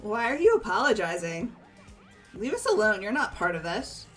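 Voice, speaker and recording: A woman's voice: medium pitch, big pitch swings, moderate pace, a bit bright, soft background music. Woman acting frank, exasperated teenage girl. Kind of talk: amateur voice acting of a visual novel game.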